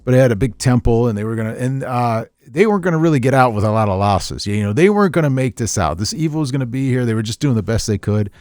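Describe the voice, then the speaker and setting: A middle-aged man chatting casually on a podcast, telling stories in a rambling, animated way with repeats and chuckles. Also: medium pitch, quick pace, hardly any pauses, dry recording, studio quality. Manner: animated storyteller, chuckles often, repeats himself. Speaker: podcast host, veteran tabletop gamer.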